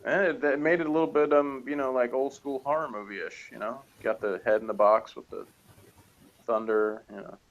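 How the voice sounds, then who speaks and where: A man's voice: medium pitch, fairly even intonation, moderate pace, dull audio. A man reviewing a TV episode in casual, rambling, jokey chat, often chuckling. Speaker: reviewer on a TV show review channel.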